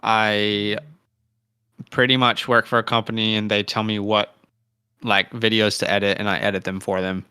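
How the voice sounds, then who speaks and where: Clear-voiced man in his twenties, chatting. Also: fairly low pitch, flat delivery, moderate pace, sharp tone, no room sound. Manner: informal slang, chummy address. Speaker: co-host of a fantasy football show. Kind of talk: casual livestream chat.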